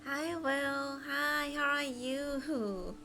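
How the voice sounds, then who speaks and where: A woman's voice: high voice, extremely slow, over music. A woman live streamer giving casual gameplay commentary, thinking aloud in a chatty way.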